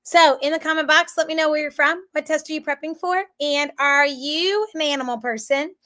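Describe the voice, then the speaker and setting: A woman's voice: high-pitched, a little fast, pushed and bright. An American woman teaching an online class in a casual, bubbly, rambling way, her voice strained by a cold. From central Florida, United States. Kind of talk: casual chatty live lesson.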